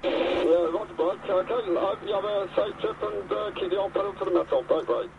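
A man's voice: sits high, steady tone, a little fast, creaky. A man speaking trucker chatter over a CB radio. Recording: noisy, muffled CB radio transmission.

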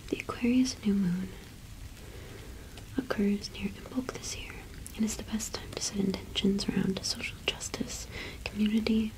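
A woman in her twenties doing an ASMR roleplay as a cottage witch shopkeeper, speaking softly and unhurriedly with hesitant pauses, close to the microphone. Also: medium pitch, rough recording. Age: 20s. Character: friendly solitary cottage witch keeping a small shop.

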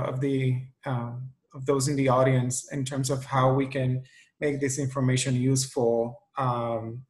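A man from Puerto Rico whose first language is Spanish, answering questions on a webinar panel over a video call, thoughtful and pausing often. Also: medium pitch. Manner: thoughtful, with frequent ums and restarts.

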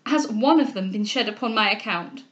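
A woman's voice: mid-range voice, lively intonation, normal speed, dull audio, close to an everyday voice. Young lover from a period novel, voiced by a woman: ardent, bewildered, formally spoken, imploring. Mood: amazed concern. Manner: impassioned, formal, rhetorical questioning. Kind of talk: dramatic reading of a classic novel.

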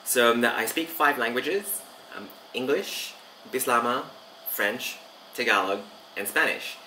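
A man in his thirties with an Australian accent, a language teacher chatting casually to camera with many fillers and pauses, seated in a small room. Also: medium pitch, sharp tone, slight background noise, poor audio. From Vanuatu. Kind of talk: casual video talk to camera.

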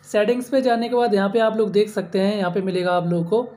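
A man's voice: high voice, level tone, fast talker, mellow. A man presenting a step-by-step phone app tutorial, repeating himself and addressing viewers as friends. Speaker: host of a tech tutorial channel.

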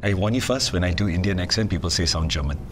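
A man trading jokes at a studio table, teasing and lively. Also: sits low, fast, background noise. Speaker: game contestant. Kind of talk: joke-telling game banter.

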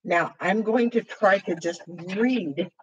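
Older woman, weak husky voice, slow. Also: medium pitch, dull audio. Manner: slow, halting, with frequent fillers while signing. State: voice weakened and hoarse after throat intubation. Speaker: sign language teacher. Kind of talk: slow explanatory sign language lesson. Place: small room with dark curtain backdrop, indoors.

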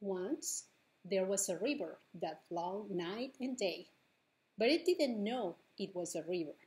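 Slow-paced woman reading to young children. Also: medium pitch, darkish tone. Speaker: children's librarian, storytime host. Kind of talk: bilingual picture-book read-aloud for preschoolers. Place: living room at home, indoors.

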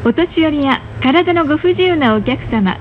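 A woman reading pre-recorded train announcements in a polite, formal, carefully measured manner. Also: expressive. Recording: muffled, noisy onboard train speaker recording. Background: rumble of a moving train.

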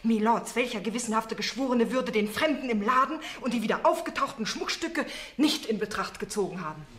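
A woman's voice: a little high, animated, fairly quick, fairly bright tone. An actress playing an earnest, determined young woman barrister in a radio drama, speaking formally and insistently. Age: young adult. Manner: formal, insistent courtroom pleading.

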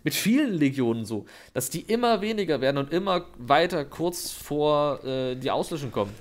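A man's voice: a little high, expressive, normal speed. A man co-hosting a podcast, explaining in a chatty way with many fillers, still slightly sick with a cold. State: has a cold, coughing. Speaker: podcast co-host.

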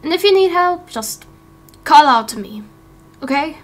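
A woman's voice: high-pitched, expressive, unhurried, pitched above the performer's own voice. A woman voicing a friendly, encouraging young village man in a game roleplay, with a reassuring manner. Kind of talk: amateur game roleplay acting.

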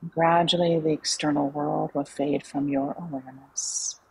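Low-voiced woman guiding a slow meditation. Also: creaky, a little hiss or hum, poor audio. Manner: calm, soothing, unhurried, with long pauses. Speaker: meditation guide. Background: soft background music.

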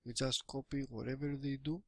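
A man's voice: medium pitch, fairly even intonation, no echo, clean studio sound. A Greek man in his thirties, speaking English as a second language with a Greek accent, giving a hesitant, casual screen-recorded walkthrough from a small room on a webcam mic. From Greece. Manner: hesitant, halting English with many fillers and restarts. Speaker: affiliate marketer giving a product review. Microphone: webcam or laptop mic.

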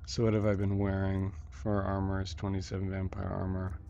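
A man giving casual commentary on his own gameplay, muttering and thinking aloud with halting delivery and mild folksy exclamations. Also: deep voice, monotone, creaky, dark tone, highs cut off, slight background noise. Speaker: gamer narrating own playthrough. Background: video game music.

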